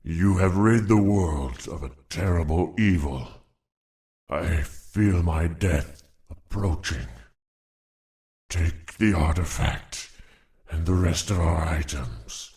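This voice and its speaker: A dying orc shaman from a fantasy game, extremely low in pitch. He speaks slowly and solemnly, with grave ceremony and honor. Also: darkish tone. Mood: weary gratitude. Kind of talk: video game voice acting.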